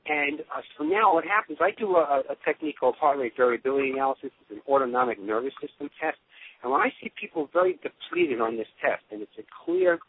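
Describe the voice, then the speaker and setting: A man's voice: medium pitch, animated, quick pace. A man speaking as a doctor giving an informal testimonial on a conference call, rambling and often restarting his phrases. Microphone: telephone. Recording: tinny phone line with clear noise.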